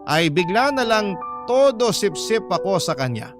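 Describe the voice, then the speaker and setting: A discontented Filipino partner confiding in a radio drama. His voice sits slightly high, and the narration is dramatic. Music plays clearly behind it. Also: big pitch swings, a little slow. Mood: confiding, frustrated. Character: discontented live-in partner confessing to a radio host. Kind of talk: dramatic first-person letter narration.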